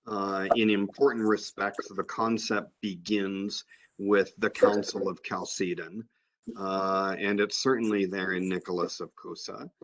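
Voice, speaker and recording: Man, lowish voice, very slow, measured academic talk, lots of uhs. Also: flat delivery. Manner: slow, measured, with many fillers. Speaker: conference panelist. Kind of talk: academic discussion.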